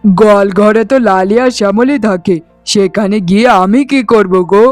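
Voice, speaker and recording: A man's voice: high voice, moderate pace, no echo, soft background music, close to an everyday voice. A man voicing a despondent, humble young village husband in a cartoon, with a plaintive manner. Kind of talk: Bengali moral-story cartoon voice acting.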